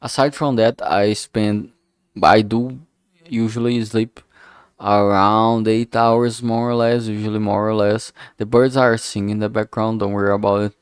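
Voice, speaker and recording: Low-voiced man, casual halting streamer. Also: little pitch movement, clear, resonant tone, no echo. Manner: frank, heavily swearing, halting with self-corrections. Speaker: streamer, game developer. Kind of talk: casual rambling livestream chat.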